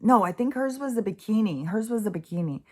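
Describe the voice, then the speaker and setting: A woman's voice: medium pitch, animated, fast talker. A woman in her sixties talking casually and candidly in a rambling spiritual monologue, swearing freely. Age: 60s. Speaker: host.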